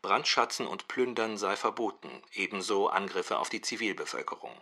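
A man's voice: fairly low pitch, normal speed, sharp tone. A man playing a formal newsreader giving war bulletins in a radio play.

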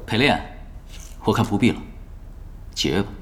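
Man acting a composed, low-voiced veteran gamer. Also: lively intonation, a little hiss or hum, close to an everyday voice. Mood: resolute. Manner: composed, measured, understated. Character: calm, resolute veteran male pro gamer. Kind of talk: audio drama acting.

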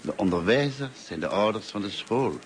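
An actor in a Dutch radio play as a kindly, talkative, lonely middle-aged teacher, courteous and musing in manner. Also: male voice, lowish voice, expressive, normal speed, lightly acted. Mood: earnest, musing. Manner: courteous, musing, hesitant and self-effacing. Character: kindly, talkative, lonely grey-haired male French teacher. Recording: noisy old radio play recording.

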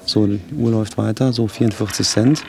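A man's voice: fairly low pitch, steady tone, brisk, creaky, a crowd in the background. A man working at an auction hall, giving a matter-of-fact running commentary in short updates.

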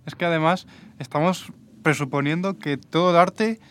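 A man's voice: a little high, moderate pace, a little hiss or hum. A man with a Spanish accent in a podcast debate, agreeing and then adding his own examples. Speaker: podcast co-host. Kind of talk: casual debate.

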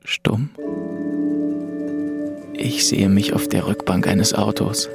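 A man reading scripted first-person literary narration as an audiobook narrator, with a creaky voice. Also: lowish voice, steady tone, slow, over loud music.

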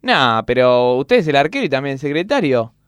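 A man's voice: mid-range voice, big pitch swings, moderate pace, a bit bright, smooth, no echo, studio quality. An Argentine man playing a deferential, inquisitive henchman in a comedy radio sketch. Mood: puzzled.

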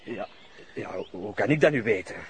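An actor with a Flemish accent playing a cocky conspirator in a radio drama, defiant and dismissive. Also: male voice, lowish voice, lively intonation, moderate pace, slight background noise, close to an everyday voice. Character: cocky male conspirator who posed as village priest.

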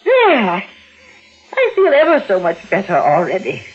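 A hysterical frightened old widow. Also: female voice, mid-range voice, huge pitch swings, a little slow, husky, soft background music, exaggerated voice. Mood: shaken, tender. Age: older. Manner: hysterical, breathless, trembling. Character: frightened lonely widow near sixty, nervous. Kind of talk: 1940s radio acting. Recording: dull old radio broadcast.

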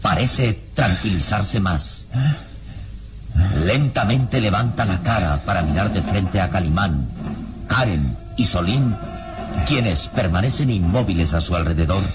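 Male radio-serial narrator, low voice, very expressive, solemn, deliberate, theatrical, loud music bed. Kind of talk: dramatic scripted narration, vintage radio serial.

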